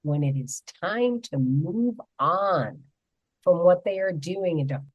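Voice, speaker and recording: A woman's voice: fairly low pitch, animated, slow, dry recording, highs cut off. Enthusiastic woman radio host, dry sound. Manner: warmly enthusiastic, emphatic. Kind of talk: radio interview hosting.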